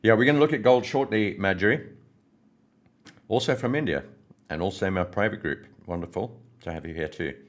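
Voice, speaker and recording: A hoarse man hosting a trading livestream, greeting viewers by name in a friendly, rambling way before going through market news. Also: on the low side, fairly quick. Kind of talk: casual live-stream chat and market commentary.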